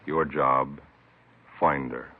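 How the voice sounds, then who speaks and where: A man giving a grave, deliberate dramatic introduction as a 1950s radio announcer, on a tinny old recording. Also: very deep voice, fairly even intonation, vocal fry, over loud music. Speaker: program narrator and announcer.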